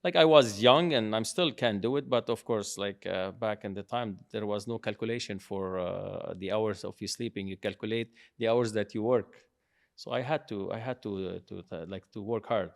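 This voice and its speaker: A man's voice: fairly low pitch, moderate pace, creaky. A middle-aged man telling his life story in a casual conversation, proud and rambling, often restarting his sentences. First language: English. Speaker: guest, father of the host.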